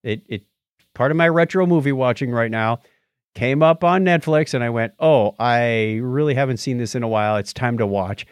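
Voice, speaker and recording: A man's voice: medium pitch, moderate pace, smooth, dry recording. A man around sixty co-hosting a podcast, giving casual reviews in conversation. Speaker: podcast co-host.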